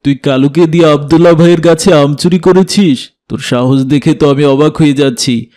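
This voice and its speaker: Bright, forceful scolding father, played by a man. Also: fairly high pitch, brisk, lightly acted. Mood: shocked, angry. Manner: scolding, indignant. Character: proud village chairman, stern shamed father.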